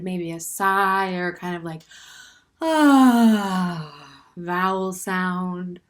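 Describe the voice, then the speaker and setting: A woman leading a guided standing meditation in a gentle, unhurried way, with soft, breathy phrase endings and plenty of pauses. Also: a little high. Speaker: meditation teacher.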